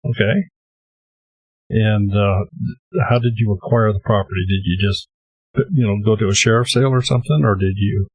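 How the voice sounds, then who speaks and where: A man hosting a live show, asking guests soft-spoken, halting questions. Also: on the low side, steady tone, dry recording, lo-fi sound. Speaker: host, interviewer.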